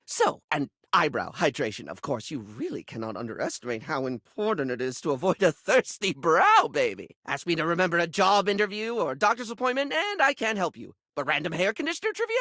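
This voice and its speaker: A man's voice: fairly high pitch, very animated, brisk, few pauses, sharp tone, dry recording, studio quality, heavily acted. A male actor playing a flamboyant, fussy beauty-product character in a video game, theatrical and effusive, anxious to please. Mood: gushing. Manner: theatrical, effusive, fretful, peppered with endearments.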